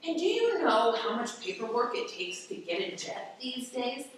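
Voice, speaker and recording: A woman's voice: on the high side, sing-song, moderate pace. A woman playing a brash, bumbling FBI agent in a comic radio play, officious and griping. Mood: exasperated. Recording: echoey room, distant mic, faint noise.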